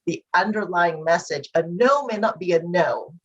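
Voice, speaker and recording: Woman, podcast guest, former banker, husky voice, agreeable, filler-heavy chat. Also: fairly low pitch, animated, normal speed, highs cut off. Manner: agreeable, affirming, many fillers like "um" and "you know". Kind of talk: conversational podcast interview.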